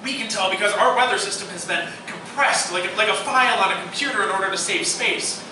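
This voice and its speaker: A man's voice: high-pitched, a little fast, lightly acted. A man playing an anxious, awkward college computer science student who stammers in a live radio theatre play. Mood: alarmed. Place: live stage performance in echoey room. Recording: very echoey hall, distant mic, noisy.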